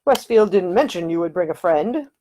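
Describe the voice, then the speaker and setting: A woman's voice: fairly low pitch, expressive, normal speed, mellow. A woman voicing a courteous, commanding older marquis in an audiobook. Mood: curt. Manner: formal, gracious.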